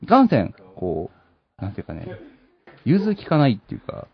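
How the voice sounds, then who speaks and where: A man hosting a show, explaining a segment in lively, excitable conversational talk. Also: medium pitch, fairly quick, gravelly, highs cut off. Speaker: show host.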